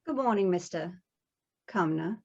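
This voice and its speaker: Muffled, lo-fi audio carries an actress as a stony, watchful servant woman in a drama table read. Her voice is fairly low and her politeness is formal and cool. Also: animated, a little slow, gravelly, dark tone, heavily acted. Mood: coolly polite.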